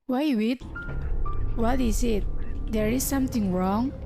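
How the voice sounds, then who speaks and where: A woman's voice: fairly high pitch, slow, creaky, over music. A woman playing a concerned, uneasy young student in an amateur English radio drama.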